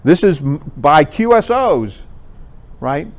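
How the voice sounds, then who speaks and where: A man's voice: on the high side, sing-song, takes it slowly. A man giving an informal, explanatory slide presentation to a group in a conversational way. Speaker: presenter at an amateur radio club talk.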